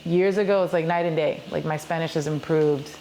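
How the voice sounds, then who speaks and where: A woman's voice: sits low, steady tone, fairly quick, dark tone, a little hiss or hum, low-quality recording. A young woman, a singer, talking casually in an interview, chatty and filler-heavy. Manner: chatty, many fillers like "like" and "you know".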